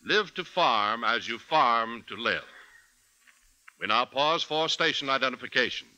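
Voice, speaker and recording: A man's voice: mid-range voice, lively intonation, unhurried, sharp tone, no echo, poor audio. A man working as a radio announcer, reading a formal, emphatic public service message in 1950s style.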